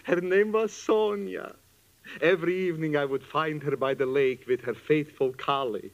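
Man playing a high-voiced, needling musician professor. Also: moderate pace, muffled recording, lightly acted. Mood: mock romantic. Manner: mock-sincere, dry needling. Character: teasing, sardonic old musician professor. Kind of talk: old-time radio comedy acting.

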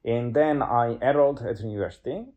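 A man's voice: medium pitch, fairly quick, a little mellow, lo-fi sound. A young man with an Italian accent, a guest in an interview, speaking English as a second language with many fillers and restarts. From Italy. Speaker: guest polyglot. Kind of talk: casual interview chat.

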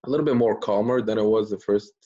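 A man's voice: on the low side, fast, warm tone, husky. A man hosting a casual podcast interview, asking blunt questions and swearing now and then. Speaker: podcast host. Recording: dull lo-fi sound.

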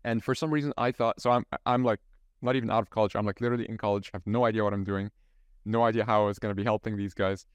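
Young man, halting host. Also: on the low side, steady tone, clear, resonant tone, no echo. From Egypt. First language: Arabic. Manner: halting, many restarts and "like" fillers. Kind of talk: casual interview chat.

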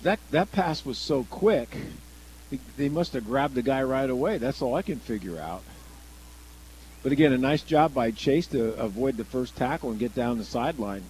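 American guy doing football color commentary, mid-pitched, halting, noisy live broadcast. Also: expressive, darkish tone. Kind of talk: conversational football analysis. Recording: clear background noise on live broadcast.

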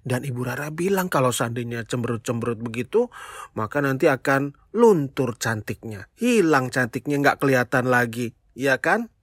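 A storyteller telling a children's tale in an expressive, playful way, speaking straight to young listeners with exclamations and questions. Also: medium pitch, normal speed, no room sound, studio quality. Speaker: children's storyteller, show host.

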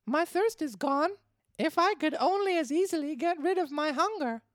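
A woman's voice: sits high, lively intonation, normal speed, no echo, clean studio sound, voice pushed up. A woman voicing a young boy marionette from a storybook, whining and pleading. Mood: grudging. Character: Pinocchio, plaintive young boy marionette begging.

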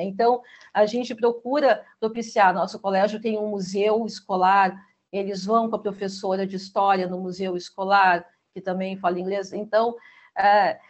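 Woman, school rep, mid-pitched, chatty and filler-heavy. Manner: chatty, uses many fillers like né. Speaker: school representative. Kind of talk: conversational interview answers.

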